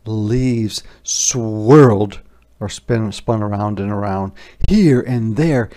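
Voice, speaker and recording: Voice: mid-range voice, takes it slowly, mellow. A teacher reading a story aloud to young children, expressively, with casual explanations and questions. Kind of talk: interactive children's story narration with casual asides.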